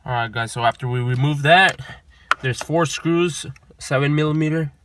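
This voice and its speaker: A man's voice: a little high, moderate pace. A man hosting a car installation tutorial, explaining each step casually and informally, repeating himself as he goes. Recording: noisy, clicks and vehicle sounds behind.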